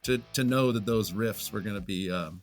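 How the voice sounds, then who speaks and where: A man's voice: lowish voice, normal speed, faint music behind. A man speaking as an interview guest in casual conversation, searching for his words and chuckling now and then. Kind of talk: casual book-recommendation chat.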